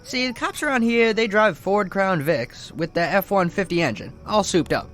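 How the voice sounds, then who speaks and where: An actor playing a reckless teenage show-off with brash, slangy bravado in a radio drama. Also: male voice, high voice, expressive, normal speed, a bit bright. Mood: brash, indignant. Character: cocky, show-off teenage boy, reckless young wise guy.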